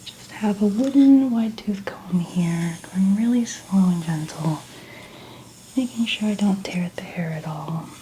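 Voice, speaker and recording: A woman doing a gentle, reassuring soft-spoken ASMR roleplay, often dropping toward a whisper. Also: medium pitch, a little slow, slight background noise, poor audio. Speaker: ASMR host playing a makeup artist.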